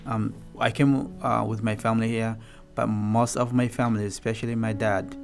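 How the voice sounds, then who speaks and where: A man's voice: lowish voice, flat delivery, moderate pace, gravelly, over music. A man from Kenya, speaking hesitantly and reflectively about his family as an interviewee. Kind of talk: personal testimony.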